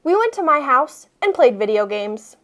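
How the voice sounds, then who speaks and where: A woman reading a children's storybook aloud with expressive, emphatic narration. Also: sits high, moderate pace, highs cut off. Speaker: storybook reader.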